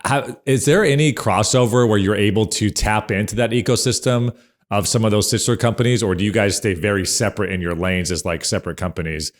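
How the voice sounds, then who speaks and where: An easygoing male podcast host asks long, loose questions in a very deep voice. He keeps the talk relaxed and often chimes in to agree. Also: fairly quick. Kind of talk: casual interview chat.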